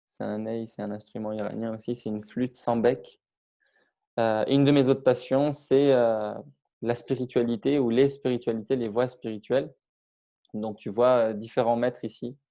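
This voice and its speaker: A man's voice: mid-range voice, steady tone, normal speed, warm tone. A middle-aged man from Iran, a public speaking trainer, talking casually with many hesitations and restarts. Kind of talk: casual interview on video call.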